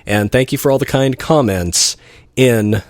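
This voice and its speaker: A male radio host talks in casual, appreciative DJ patter. His medium-pitched voice is close and dry, with a chatty delivery aimed at listeners. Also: measured pace, no room sound.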